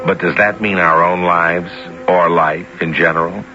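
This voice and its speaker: A man hosting a 1970s radio drama with theatrical scripted narration, deliberate and teasing with rhetorical questions. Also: low voice, fairly even intonation. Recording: dull 1970s radio recording with music.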